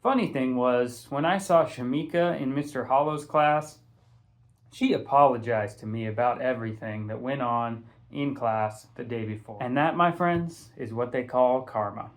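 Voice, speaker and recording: A man reading a first-person story aloud in an expressive, casual, conversational way. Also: mid-range voice, moderate pace. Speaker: audiobook reader, teacher.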